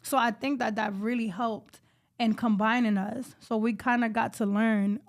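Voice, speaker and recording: A woman's voice: a little high, steady tone, normal speed, gravelly. A woman in her late thirties, a podcast guest talking openly in casual chat and leaning on filler phrases. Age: 30s. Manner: chatty, open, lots of filler phrases.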